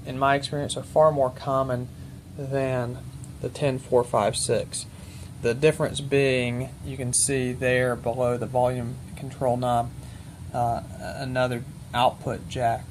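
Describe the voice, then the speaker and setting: A man with a mid-pitched voice talks through technical equipment as a presenter, off the cuff and step by step. His delivery is matter-of-fact and exact about detail. There is noticeable background noise in the recording. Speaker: presenter demonstrating military intercom equipment. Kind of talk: unscripted technical walkthrough.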